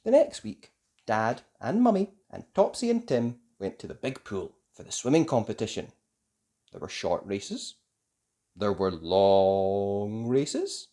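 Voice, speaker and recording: A children's story reader giving expressive scripted narration in a deliberate, sing-song way aimed at young children. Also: medium pitch.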